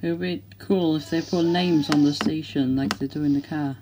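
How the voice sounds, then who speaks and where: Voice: mid-range voice, steady tone, normal speed. Casual vlogger on a noisy home recording. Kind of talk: casual product demonstration.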